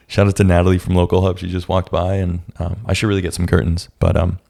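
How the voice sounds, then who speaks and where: A man hosting a casual solo podcast, his voice a bit raspy today, rambling and often restarting his sentences. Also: low-pitched, little pitch movement, fairly quick, dark tone. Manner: rambling, restarts often, speaks in scattered bursts. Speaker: podcast host. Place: room at home with a street-facing window.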